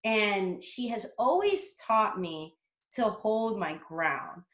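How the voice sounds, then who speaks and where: A woman's voice: mid-range voice, a bit bright, roomy sound. A woman guest on a casual podcast interview, talking brashly and confidently and swearing freely. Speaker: interview guest, motivational coach.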